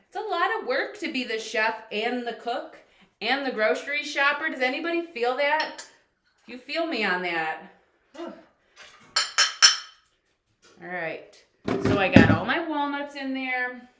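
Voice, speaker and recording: A woman hosting a casual live cooking stream from her home kitchen, chatty and upbeat, with a loud teacher voice, picked up by a camera across the room. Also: sits high, animated, a little slow, pauses often. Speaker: cooking livestream host and coach. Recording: echoey kitchen with faint noise.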